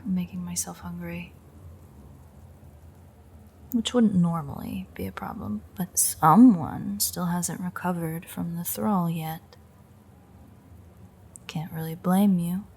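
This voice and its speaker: A woman playing a smug, predatory female vampire who teases and menaces her captive in an intimate, unhurried audio roleplay monologue. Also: lowish voice, steady tone, vocal fry, darkish tone, slight background noise. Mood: impatient, musing. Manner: teasing, sardonic, unhurried, menacing.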